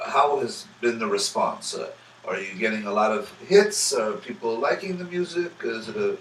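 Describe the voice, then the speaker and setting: A man's voice: on the low side, animated, normal speed. Man asking a rambling question, far off. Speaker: audience member. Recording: echoey room from far off, faint noise.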